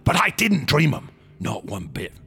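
An actor in a radio drama playing a fanatical, temperamental artist, rambling and stammering with feverish intensity in a gravelly, raspy voice. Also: male voice, on the high side, wildly swooping pitch, moderate pace, bright, forceful tone, faint music behind, full character voice. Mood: feverish zeal. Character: fanatical, temperamental male artist of monstrous museum exhibits.

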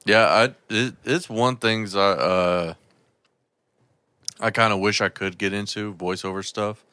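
Brief: male podcast guest, deep resonant voice, loose rambling chat, dry close mic. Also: fairly even intonation, a little slow, sharp tone, no echo. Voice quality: deep voice, throat often raspy. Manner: rambling, swears, stammers on restarts. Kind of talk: casual chat.

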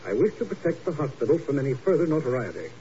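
An actor as an urbane hospital doctor with a mellow, medium-pitched voice. He speaks formally and measuredly, courteous but guarded and a touch patronizing. It is a muffled, noisy 1950s radio recording. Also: very animated, fast, natural voice. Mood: smooth, guarded. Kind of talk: 1950s radio drama acting.

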